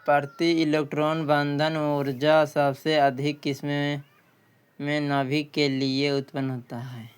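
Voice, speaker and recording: Even-toned male tutor with a clear voice. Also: fairly high pitch. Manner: halting, repeats phrases and answers. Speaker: exam-prep tutor. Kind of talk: quiz lesson, reading questions and answers aloud.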